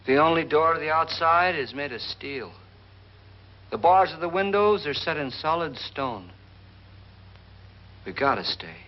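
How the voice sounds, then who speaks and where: Highish-voiced, haunted drunkard. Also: male voice, lively intonation, measured pace, long pauses. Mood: doomful. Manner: ominous, fearful, drunken. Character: haunted, drunken, jittery man obsessed with ghosts. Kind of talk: 1950s horror film acting. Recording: muffled 1950s film soundtrack with music.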